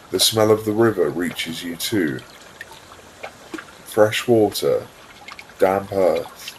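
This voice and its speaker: A man with a very low voice reads a guided visualisation at a very slow pace. His delivery is calm and soothing, with room between phrases. Trickling water ambience runs clearly behind him. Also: a little hiss or hum, poor audio. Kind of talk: guided meditation, scripted narration.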